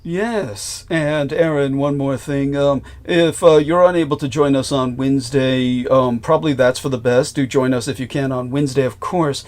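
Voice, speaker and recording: A man's voice: mid-range voice, normal speed, hardly any pauses, darkish tone. Guy hosting a podcast call, super formal and apologetic, lots of restarts. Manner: formal, effusive, many restarts. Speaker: host.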